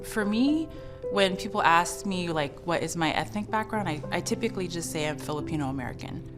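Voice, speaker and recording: A woman with a low voice answers interview questions casually. Music is clearly present in the background. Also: lively intonation, a little fast.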